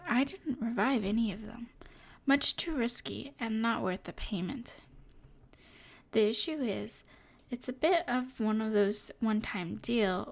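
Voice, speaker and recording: High, creaky sorceress, acted by a woman, smugly teasing. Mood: wry, frank. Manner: teasing, smug, haughty. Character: smug teasing female evil sorcerer, worldly and mysterious. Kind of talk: intimate ASMR roleplay acting.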